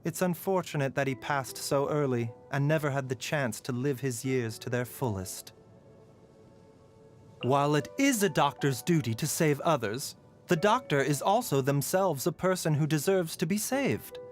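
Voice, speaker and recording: An actor playing a gentle, scholarly doctor and herbalist in a video game, composed, polite and measured. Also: male voice, on the high side, animated, lightly acted. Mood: solemn, compassionate. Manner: measured, polite, softly sighing and chuckling. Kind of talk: scripted game character voice lines. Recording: music behind the voice.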